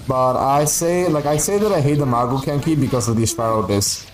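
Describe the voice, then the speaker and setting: A man's voice: mid-range voice, brisk, a little hiss or hum, poor audio. A man whose first language is Spanish, giving casual, rambling gaming livestream commentary with playful, sweary grumbling. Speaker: game streamer.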